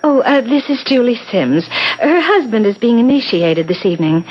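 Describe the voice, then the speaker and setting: An American actress playing a determined, crafty middle-aged widow in a 1970s radio drama, covering pointed, commanding questions with small talk. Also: female voice, on the high side, big pitch swings, moderate pace, highs cut off. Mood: chatty, coaxing. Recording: hissy vintage radio broadcast recording.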